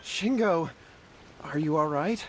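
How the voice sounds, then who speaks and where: A man's voice: fairly high pitch, slow pace, slight background noise, rough recording. An actor playing an earnest, worried and polite young man in a video game. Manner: polite, contrite. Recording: loud game music behind.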